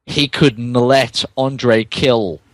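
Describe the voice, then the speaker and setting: A man's voice: mid-range voice, fairly even intonation, very slow. A man co-hosting and narrating a comic dramatic reading, mock-dramatic and often stumbling over his words. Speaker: podcast co-host and narrator.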